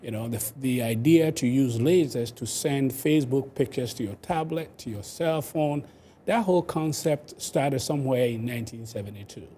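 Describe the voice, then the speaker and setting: Older Ghanaian-accented male expert, mellow mid-range voice, explanatory interview delivery, some background noise. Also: medium pitch, moderate pace. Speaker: inventor, interview guest.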